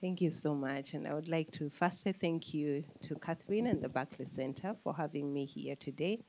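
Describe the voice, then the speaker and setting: A woman in her thirties from Uganda, speaking as an economist on a panel into a handheld mic, explaining in a measured way and listing her points. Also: low-pitched, noisy background. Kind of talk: panel discussion answer. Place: conference room with audience.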